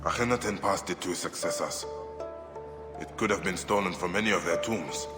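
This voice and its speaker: A male actor voices a grim avenger of ancient Egypt in a game cutscene. His voice sits low, and he speaks tersely, with solemn resolve. Music plays clearly behind him. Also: fairly quick, lightly acted. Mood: reflective. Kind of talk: video game cutscene acting.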